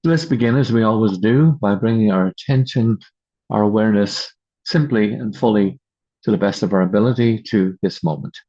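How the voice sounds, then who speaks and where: Man, low-pitched, slightly breathy husky voice, calm meditation guide, measured phrases, long pauses, dull video call audio.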